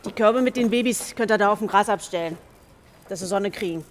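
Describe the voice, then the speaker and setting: A woman's voice: medium pitch, fairly quick, a little hiss or hum, close to an everyday voice. A woman playing a stern, bossy female prison guard, curt and commanding, in a German radio drama.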